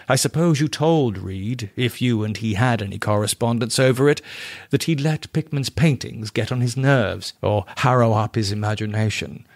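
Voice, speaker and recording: A man's voice: medium pitch, dry recording. Man narrating a horror story, dry recording. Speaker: audiobook reader, podcast narrator. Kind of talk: dramatic first-person horror story narration.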